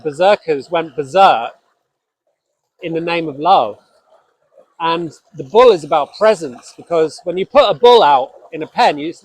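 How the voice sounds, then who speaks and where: Scottish-born male host, no accent, earnest and halting, fairly high pitch. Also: a little mellow, slightly rough, poor audio. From Scotland. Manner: earnest, halting, with frequent restarts. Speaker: spiritual podcast host. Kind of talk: reflective solo talk.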